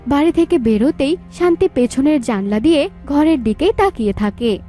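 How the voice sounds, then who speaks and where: A woman's voice: sits high, lively intonation, normal speed, warm tone, faint music behind. A woman reading scripted story narration.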